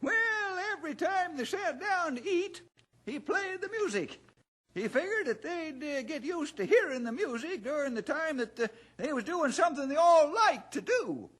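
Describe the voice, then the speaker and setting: A man's voice: very high voice, sing-song, moderate pace, fairly bright tone, full character voice. A male actor playing a boastful, folksy old-timer with a cracked voice and a blustering rustic manner in a radio drama. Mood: folksy, eager. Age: older.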